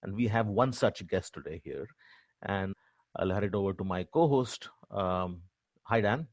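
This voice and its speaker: A middle-aged man hosting a podcast interview, asking halting, filler-heavy questions. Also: low-pitched, animated, no room sound. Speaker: podcast co-host, professor.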